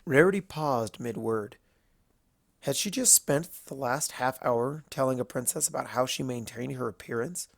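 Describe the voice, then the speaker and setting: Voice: medium pitch, moderate pace, gravelly, no echo, clean studio sound. A narrator reading a scripted story aloud in a storytelling style.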